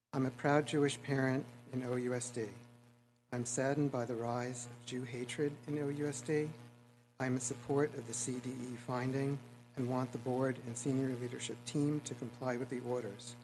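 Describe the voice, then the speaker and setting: A man giving public comment, reading someone else's written statement in a steady way. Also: mid-range voice. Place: public meeting hall. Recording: humming noisy meeting room audio.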